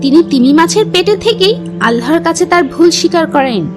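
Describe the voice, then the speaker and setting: Very high-voiced woman storyteller over music. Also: animated, fast talker. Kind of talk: scripted children's religious story narration.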